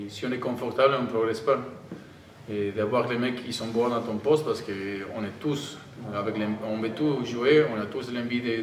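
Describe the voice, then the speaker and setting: A male rugby player from Argentina answers questions in halting French with a Spanish accent. His pitch is middling. The recording is roomy and distant, with some noise behind him. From Argentina (Tucumán, in the north). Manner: halting, searching for words in non-native French. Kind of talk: press conference answers. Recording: roomy, distant microphone, audible background noise.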